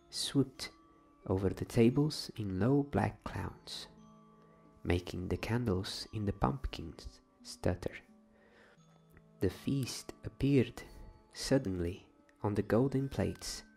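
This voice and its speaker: Slow, creaky-voiced man reading ASMR bedtime stories. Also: lowish voice, darkish tone. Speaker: host reading a bedtime story. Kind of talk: soft-spoken ASMR storybook reading.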